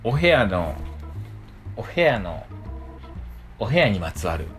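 Animated western Japanese man co-hosting over music. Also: lowish voice, takes it slowly. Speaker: radio podcast co-host. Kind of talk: casual banter on a livestreamed radio show. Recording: background music under the talk.

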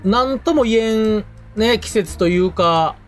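A man's voice: sits high, unhurried. A man with a western Japanese accent co-hosting a radio podcast in casual banter, with a wry, joking, colloquial way of talking. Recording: background music under the talk.

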